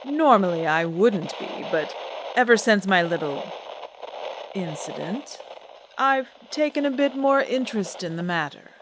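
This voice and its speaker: An actress playing a proud, guarded singer and stage star, theatrical and poised in an old-time radio drama style. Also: female voice, medium pitch, animated, unhurried, slight background noise, close to an everyday voice, poor audio. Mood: musing, knowing. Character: proud, guarded female singer-actress, a glamorous celebrity diva. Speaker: celebrity interview guest.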